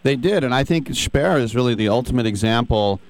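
A man's voice: mid-range voice, expressive, fairly quick, dry recording. A man hosting a radio interview, talkative and enthusiastic, asking long questions. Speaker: radio host. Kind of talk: interview conversation.

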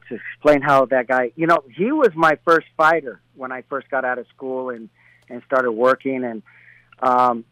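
A man's voice: on the high side, a bit bright, dry recording, low-quality recording. Rambling Colombian-born man, dry sound. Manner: rambling, many restarts and fillers. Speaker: boxing trainer, guest. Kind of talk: casual interview talk.

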